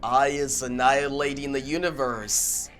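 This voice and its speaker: A man's voice: medium pitch, steady tone, unhurried, fairly bright tone. A man giving casual, slangy reaction commentary as a video host, exclaiming with emphasis.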